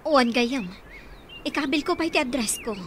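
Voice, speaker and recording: High-pitched anxious woman. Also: normal speed, fairly bright tone, slight background noise. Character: anxious adult woman searching for missing mother. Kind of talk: Ilocano radio drama acting.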